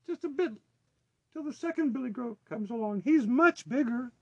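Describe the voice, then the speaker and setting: Tiny, very high-pitched little goat. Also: male voice, animated, normal speed, darkish tone, no echo, lo-fi sound, heavily acted. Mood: timid, pleading. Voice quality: small, thin voice. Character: tiniest young billy goat, small-voiced, timid and pleading.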